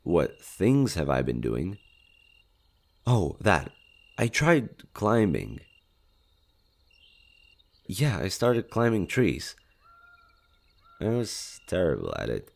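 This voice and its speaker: A man voicing a shy, gentle skinwalker monster in an audio roleplay, speaking hesitantly with innocent curiosity about humans. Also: deep voice, wildly swooping pitch, vocal fry, full character voice. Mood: sheepish. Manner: hesitant, halting, innocent wonder. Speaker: voice actor. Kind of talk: intimate audio roleplay, one-sided dialogue.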